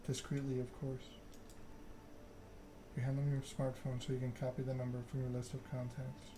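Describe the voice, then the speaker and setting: Man, ASMR game narration, unhurried, little pitch variation, noisy background. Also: monotone. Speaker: gaming video creator.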